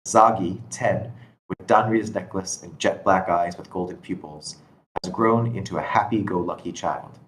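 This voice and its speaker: A man's voice: sits low, moderate pace, fairly bright tone, poor audio. A man reading scripted stage directions as narrator at a screenplay table read. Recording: constant background noise.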